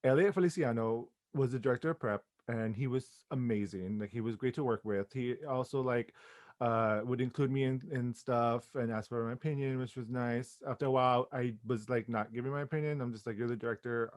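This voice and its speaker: A man's voice: mid-range voice, a little fast, dry recording. Enthusiastic, informal man in a dry recording. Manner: effusive, informal, peppered with "like" and slang. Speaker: interviewed playwright and theatre director. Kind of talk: casual interview chat.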